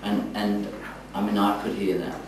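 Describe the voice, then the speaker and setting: Male yoga trainer, encouraging and halting, heard at a distance in a very reverberant room. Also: on the low side, flat delivery, creaky. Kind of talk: spoken feedback in a group class. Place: echoey indoor room, from a distance. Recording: echoey room, distant mic, faint noise.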